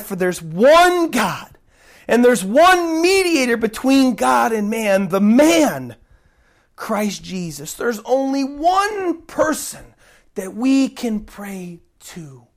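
A woman preaching an emphatic sermon while recovering from a cold and fighting to keep her voice. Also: on the high side. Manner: emphatic, repeats key points, swings between loud stresses and soft drops.

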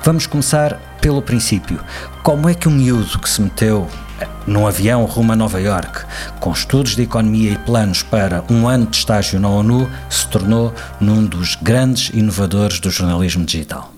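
A man with a European Portuguese accent, narrating an introduction and hosting an interview in a measured, polished way. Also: lowish voice, darkish tone. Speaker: host. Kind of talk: scripted narration and interview questions. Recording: music bed under the voice.

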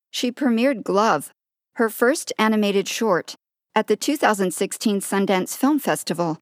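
A woman's voice: mid-range voice, no room sound. Polished woman narrator, dry close sound. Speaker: interviewer and narrator. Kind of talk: scripted narration and interview.

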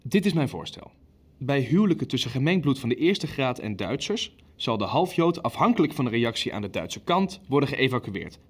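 An actor playing a ruthless, impatient Nazi official in a naturalistic audio drama, blunt and authoritative. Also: male voice, mid-range voice, big pitch swings, moderate pace, breathy edge. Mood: ruthless, decisive. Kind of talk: Dutch audio drama acting, naturalistic meeting dialogue.

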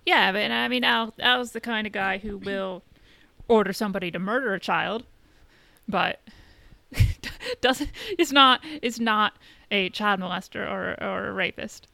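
A woman co-hosting a podcast, explaining a TV show in casual chat, haltingly, repeating words and saying "you know". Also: medium pitch, creaky, bright, forceful tone, no echo. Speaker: podcast co-host.

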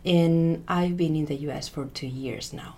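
Gravelly-voiced Spanish woman, hesitant. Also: sits low, steady tone, moderate pace, mellow. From Spain. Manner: thoughtful, hesitant, many fillers and restarts. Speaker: guest, literature professor. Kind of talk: interview answers.

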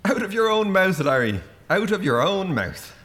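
A knowing spirit guide in a radio play, stern and dryly reproachful. The Irish male voice sits slightly high and moves with animated intonation. Each point lands in a deliberate, pointed way. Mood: firm.

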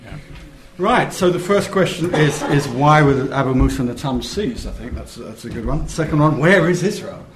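Man, moderator, brisk, picking questioners, roomy hall, clear noise. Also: fairly high pitch, lively intonation. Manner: brisk, directive. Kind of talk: chairing a Q&A. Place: lecture hall.

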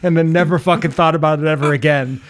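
A man's voice: fairly high pitch, steady tone, fast, no room sound. A man co-hosting a podcast, chatting casually with plenty of swearing and an opinionated, ranting manner. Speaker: podcast co-host. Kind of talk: casual, profane film and TV chat.

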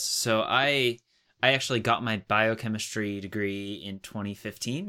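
A young man with a clear, bright voice on the lower side acts as interviewer. His questions come casually and a little haltingly, as he works out his wording. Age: young adult.

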